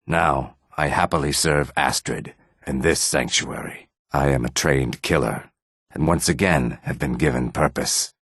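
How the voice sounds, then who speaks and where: Very deep lizardfolk assassin. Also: male voice, unhurried, a bit bright, husky, dry recording, studio quality, heavily acted. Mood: calm, matter-of-fact. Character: calm, menacing male lizardfolk assassin, proud and courteous. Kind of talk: video game character dialogue.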